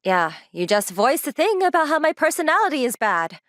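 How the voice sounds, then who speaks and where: A woman's voice: high-pitched, very animated, fairly quick, a bit bright, no echo, studio quality. A woman playing a confident, teasing young girlfriend in a romcom manga dub, speaking bluntly and making playful demands. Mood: pouty, demanding.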